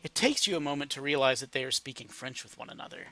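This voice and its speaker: A man's voice: mid-range voice, lively intonation, quick pace, sharp tone, faint music behind. A man reading a second-person story aloud as an amateur narrator.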